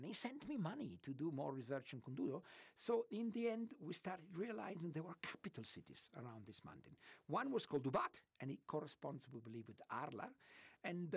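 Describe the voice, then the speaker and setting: A man's voice: on the high side, creaky, slightly rough, dry recording, studio quality. A man from Italy with an Italian accent, a guest on an interview show, talking enthusiastically and digressively, often breaking into laughter. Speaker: guest, remote sensing archaeology expert. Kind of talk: interview answers.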